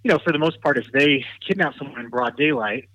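Bright-voiced man, earnest explainer. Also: mid-range voice, fast talker, dry recording, poor audio. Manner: earnest, explanatory, uses fillers. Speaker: prosecutor. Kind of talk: radio interview guest.

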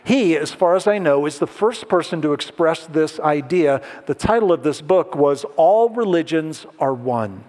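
A pastor giving a conversational sermon, asking the audience questions and making light jokes. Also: male voice, fairly high pitch, expressive, normal speed, few pauses, darkish tone. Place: large hall with an audience. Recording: long hall reverb.

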